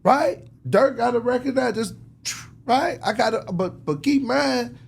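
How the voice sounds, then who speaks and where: A man's voice: very high voice, a little slow, gravelly, breathy edge. An American man talking casually and passionately as an interview guest, slangy and emphatic. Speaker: interview guest, record label promotion executive.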